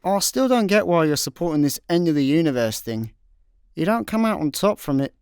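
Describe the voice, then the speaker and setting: A hapless space slacker, played by a man, sarcastic and bemused. His pitch jumps around in lively fashion, recorded close and dry for a radio comedy. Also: a little fast, clear, resonant tone, no echo. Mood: exasperated. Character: sardonic, hapless young male space layabout. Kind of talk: comic sci-fi radio play acting.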